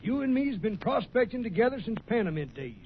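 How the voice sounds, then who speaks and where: An actor playing a blustering, superstitious prospector with folksy American speech in a radio western. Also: male voice, very high voice, sing-song, brisk, heavily acted. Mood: indignant. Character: cantankerous, superstitious male prospector of the Old West. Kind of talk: old-time radio western acting. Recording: muffled, noisy old radio recording.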